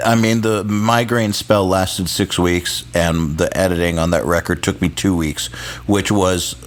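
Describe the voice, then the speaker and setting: Male podcast host, deep voice, laid-back storyteller, casual swearing. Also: steady tone, a little slow, slight background noise. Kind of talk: casual chat.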